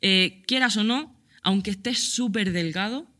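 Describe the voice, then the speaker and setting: A woman's voice: medium pitch, a little slow, a bit bright. A woman guest on a podcast, enthusiastically explaining fashion history in casual chat.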